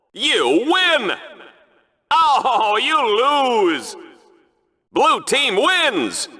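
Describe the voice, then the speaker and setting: Cheesy over the top male arena announcer for a game, swoops all over, punchy bright voice, smug and bloodthirsty. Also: extremely high pitch, heavily acted. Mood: triumphant hype. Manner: theatrical, mock-sincere, swooping delivery. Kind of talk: hyped-up arena commentary for a video game.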